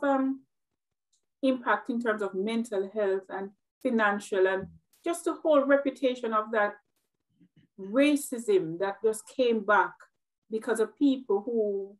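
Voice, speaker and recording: A woman's voice: fairly high pitch, low-quality recording. A middle-aged woman from Jamaica with a Jamaican accent, speaking earnestly and reflectively as an interview guest, often repeating words as she thinks. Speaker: guest, nurse. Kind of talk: interview conversation.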